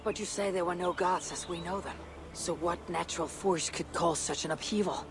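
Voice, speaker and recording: Curious norse man in a game, sincere n questioning, lighter pitch, noisy outdoorsy background. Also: moderate pace. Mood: puzzled, curious. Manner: earnest, questioning. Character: curious Viking-age man, earnest, puzzled and patient. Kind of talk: dramatic video game acting.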